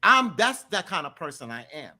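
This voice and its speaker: Emphatic man with a deep voice. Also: very animated, moderate pace, bright, forceful tone. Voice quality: deep bass voice. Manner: emphatic, storytelling, repeats himself for emphasis. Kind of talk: casual group discussion.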